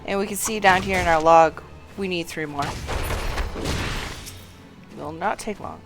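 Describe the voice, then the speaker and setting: A woman streams a game with a casual, unhurried walkthrough. She is low-key, often murmuring to herself, over faint game music. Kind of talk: casual live gameplay walkthrough.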